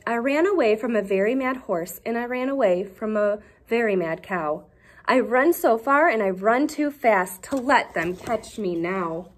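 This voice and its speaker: A woman's voice: on the high side, lively intonation, measured pace. A woman voicing a boastful little gingerbread cookie boy in a storybook, chanting his taunts in a sing-song way. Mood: worried.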